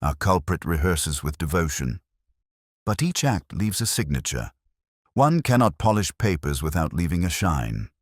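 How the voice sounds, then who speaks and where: A man voicing a calm, shrewd police inspector in an audiobook mystery, speaking formally and mildly. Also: low voice, no room sound, studio quality. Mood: quietly knowing. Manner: measured, formal, mild-toned.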